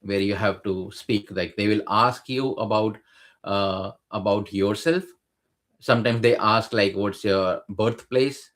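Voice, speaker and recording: Man, lower register, hesitant conversational explainer. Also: unhurried, fairly bright tone. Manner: hesitant, many fillers and restarts. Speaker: guest sharing exam experience.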